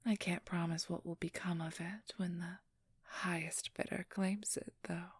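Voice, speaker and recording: A woman acts out a cunning supernatural female thief in an ASMR roleplay. Her voice is a very breathy, hushed whisper, unhurried and kept very level. She chooses her words with formal, condescending precision. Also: fairly low pitch, fairly even intonation, no echo, studio quality. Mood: menacing, seductive.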